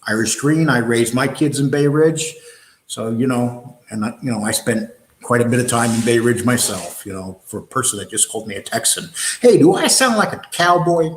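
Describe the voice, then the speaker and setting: A man's voice: medium pitch, animated, moderate pace, roomy sound, a little hiss or hum, poor audio. Blunt, combative New York man. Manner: blunt and combative, with many fillers and restarts. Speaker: host of a live online show. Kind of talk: live-stream chat, answering viewer comments.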